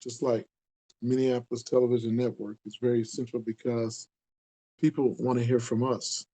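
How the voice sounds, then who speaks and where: A man's voice: mid-range voice, flat delivery, normal speed, warm tone. A man in his sixties from the Upper Midwest, a community outreach leader speaking casually to camera, earnest and repetitive for emphasis. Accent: Upper Midwest American. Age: 60s. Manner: earnest, rambling, repeats himself for emphasis.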